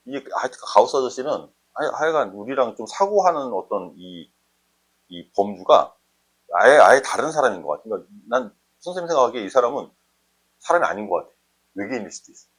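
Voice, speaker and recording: Husky male math teacher, lively pitch. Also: fast talker. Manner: conversational, often checks understanding with short questions. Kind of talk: informal explanatory math lesson, thinking aloud.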